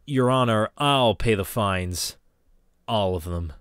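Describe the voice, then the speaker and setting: A man voicing an earnest newspaper reporter in a fan audio drama, calm and sincere. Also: lowish voice, takes it slowly, smooth, no echo.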